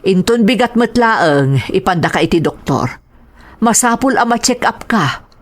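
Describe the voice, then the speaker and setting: A woman playing a gentle, concerned middle-aged mother in a family audio drama, patient and pleading in manner. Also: medium pitch, lively intonation, unhurried.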